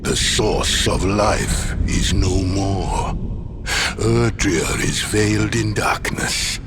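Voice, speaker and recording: An actor playing a tyrannical dark sorcerer overlord in a video game, declaiming with grandiose, menacing contempt over music. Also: male voice, low-pitched, drawn-out words, noisy background. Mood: gloating. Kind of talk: theatrical video game villain acting. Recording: loud music under the voice.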